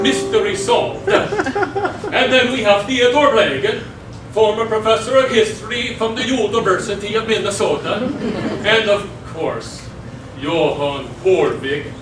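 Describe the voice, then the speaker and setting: A man's voice: high voice, lightly acted. A man playing the folksy, jovial ghost of an old man who presides over a debate on stage. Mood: grand, announcing. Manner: folksy, unhurried announcer-like introductions. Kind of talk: stage musical theatre dialogue. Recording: roomy distant stage recording, music behind.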